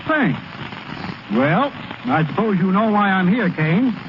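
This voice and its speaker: An actor playing a falsely genial, scheming gambler with a patronizing, reassuring manner, in 1930s radio acting style. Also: male voice, sits high, expressive, slow pace. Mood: suave. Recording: noisy, muffled vintage radio recording.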